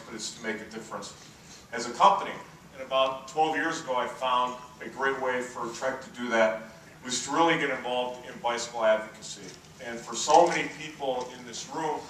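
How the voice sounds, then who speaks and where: A middle-aged man with a booming voice giving a conference keynote, telling relaxed, self-deprecating stories with plenty of "uh" fillers. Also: a little hiss or hum. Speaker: keynote speaker. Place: large conference hall. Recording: very echoey hall, voice from far off.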